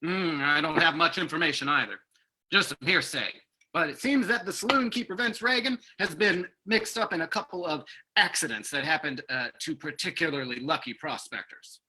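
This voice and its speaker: A man's voice: on the high side, normal speed, sharp tone, slightly rough, exaggerated voice, low-quality recording. A man playing an earnest, practical government security agent in an old-time radio drama. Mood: earnest, grim.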